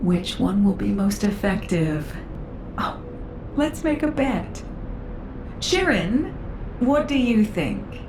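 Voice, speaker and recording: An actress playing a shrewd female researcher in a game, speaking in a measured, probing way. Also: mid-range voice, echoey room, noisy background. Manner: measured and probing, with lively inflection. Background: game music.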